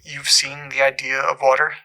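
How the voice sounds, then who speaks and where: An actor playing a troubled caller in an audio drama, haltingly and earnestly recounting an eerie supernatural memory. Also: male voice, mid-range voice, flat delivery, creaky, pushed and bright, rough recording. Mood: earnest wonder.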